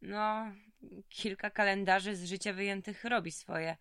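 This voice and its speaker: A woman's voice: mid-range voice, fairly even intonation, measured pace, a bit bright, clean tone, dry recording, clean studio sound. An actress playing a weary, tough female prisoner in an audio drama, talking in colloquial, streetwise slang. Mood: wistful, reflective.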